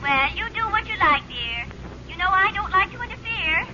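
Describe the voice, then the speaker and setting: A woman's voice: extremely high pitch, very animated, normal speed. A woman playing a chatty, meddling older mother heard through a telephone filter in a 1940s radio sitcom. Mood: nosy, concerned. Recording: very noisy, muffled phone on old radio.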